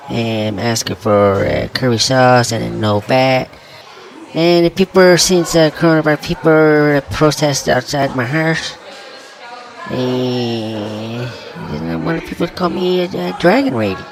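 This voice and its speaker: An aggrieved middle-aged woman politician, played by a man rambles in broken, ungrammatical English. Her voice is gravelly and sits mid-range. She talks very slowly, with long halting pauses. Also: medium pitch, low-quality recording. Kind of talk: mock interview sketch.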